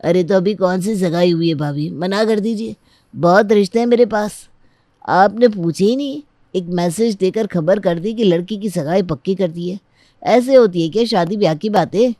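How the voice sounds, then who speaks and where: A woman's voice: mid-range voice, normal speed, dark tone, dry recording, lightly acted. Lady playing a miffed scornful uncle in a story, indignant, dry sound. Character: disgruntled, scornful uncle of the bride.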